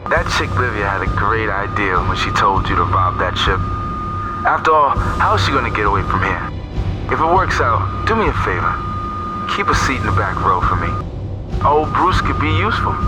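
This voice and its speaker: A man's voice: medium pitch, lively intonation, a little fast, close to an everyday voice, poor audio. An actor playing a wheedling male eavesdropper and stranded hacker in a video game cutscene, chummy, crude and insinuating. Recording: music behind the voice.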